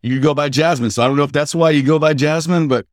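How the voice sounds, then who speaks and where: A middle-aged man, a podcast guest, telling family stories in a halting, conversational way without a regional accent. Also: a little high, no echo, studio quality. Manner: halting, with many fillers and restarts. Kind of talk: casual interview storytelling.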